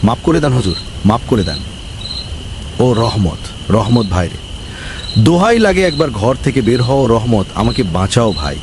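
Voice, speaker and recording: A man acts out a greedy, bossy village councilman from a comic cartoon, cowardly underneath his pomp. He speaks mid-pitched, with melodramatic exclamations. Clear noise and night insects sit behind him. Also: very animated, moderate pace, pauses often, close to an everyday voice. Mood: frightened pleading. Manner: pompous, exclamatory, pleading when frightened.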